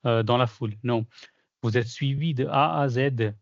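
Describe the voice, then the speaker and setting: A man talks through his experience in a relaxed, halting way, with lots of fillers and restarts. French is not his first language. His voice is dry, with no room echo, and comes through a muffled, lo-fi call. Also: mid-range voice, vocal fry. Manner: hesitant, many fillers and restarts. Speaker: certified former trainee sharing his experience. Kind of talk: casual testimonial in a video call Q&A.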